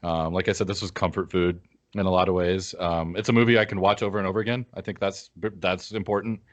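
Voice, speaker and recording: A man's voice: low-pitched, level tone, quick pace. A man chatting casually as a panelist on a film review podcast. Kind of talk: casual chat.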